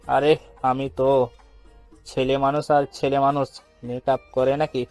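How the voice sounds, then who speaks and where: A young man, played by a male actor, in a romantic audio story, mischievous and coaxing. His mid-pitched voice stays fairly level as he teases, with soft music behind. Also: little pitch movement, measured pace, lightly acted. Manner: coaxing, teasing.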